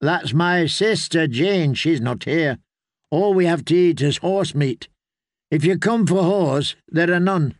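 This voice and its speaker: A man's voice: a little high, takes it slowly, dry recording, studio quality, voice pushed up. A man voicing a weary, wary ten-year-old innkeeper girl in a storybook, with blunt, questioning speech.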